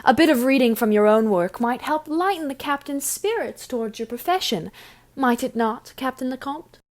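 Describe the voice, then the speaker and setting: A woman acts a diplomatic young noblewoman in a period audio drama. Her voice is a little high. She speaks with gracious, careful formality. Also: expressive, moderate pace. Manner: polite, formal period speech.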